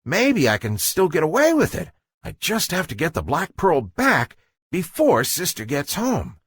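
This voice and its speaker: A man's voice: a little high, wildly swooping pitch, unhurried, husky, dry recording, voice pushed up, exaggerated voice. A man voicing a young boy guppy in a children's story, guilty and talking himself into things. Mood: scheming.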